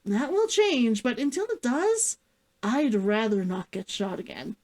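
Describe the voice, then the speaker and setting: Storybook role: gruff, caring male traveler, matter-of-fact, swinging intonation read by a woman. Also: a little high, big pitch swings, unhurried, darkish tone. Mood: gruff, blunt. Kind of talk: fiction read-aloud character dialogue.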